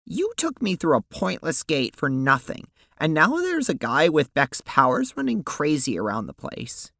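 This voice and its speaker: A woman playing an anguished teenage girl and her timid double in an audio drama, speaking haltingly. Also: low-pitched, wildly swooping pitch, vocal fry, fairly bright tone, dry recording, clean studio sound, exaggerated voice. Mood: sullen, resigned. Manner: stammering, halting. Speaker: voice actor.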